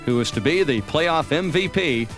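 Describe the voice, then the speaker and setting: An American man narrates a sports highlight film from a script. His voice sits a little on the high side, and music plays underneath. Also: lively intonation, normal speed. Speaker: documentary narrator.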